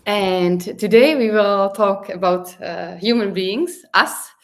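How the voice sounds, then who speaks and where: Female co-host, mid pitch, halting intro. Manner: halting, many fillers. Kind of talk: introducing a talk.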